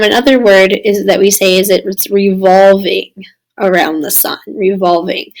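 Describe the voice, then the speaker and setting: A woman with a slightly raspy voice, recovering from losing it, giving a casual recorded slideshow lesson as a science teacher. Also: mid-range voice, poor audio. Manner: casual, explanatory, addressing students. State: recovering from lost voice.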